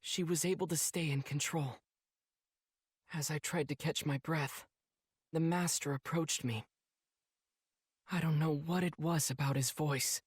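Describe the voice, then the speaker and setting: Husky, earnest teenage boy hero. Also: fairly high pitch, no echo, clean studio sound. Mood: calm, reflective. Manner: earnest, heartfelt, softly reflective. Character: earnest, emotional teenage boy demon slayer, devoted brother. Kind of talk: anime game narration, reflective storytelling.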